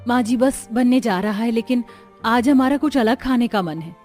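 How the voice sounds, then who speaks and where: A woman playing women of a joint family in a Hindi cartoon story, with expressive, earnest dramatic delivery. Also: fairly high pitch, moderate pace, soft background music, close to an everyday voice. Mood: earnest, homely. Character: women of a joint family, dutiful daughters-in-law and mothers-in-law.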